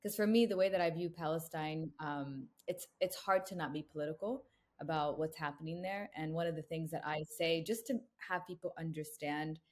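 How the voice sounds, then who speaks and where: A young woman from the United States with a low voice, speaking as a guest in a relaxed interview. She talks earnestly and at length, leaning on "you know" as a filler. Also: moderate pace. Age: young adult. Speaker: guest, brand co-founder. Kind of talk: casual interview chat.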